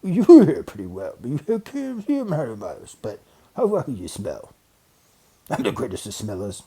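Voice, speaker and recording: A big, gruff storybook bear, acted out by a man reading aloud. He growls and brags with stubborn pride. Every challenge gets a snort or a sneer. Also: medium pitch, wildly swooping pitch, unhurried, mellow, exaggerated voice. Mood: bragging. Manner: bragging, snorting, grunting. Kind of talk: children's storybook character voice.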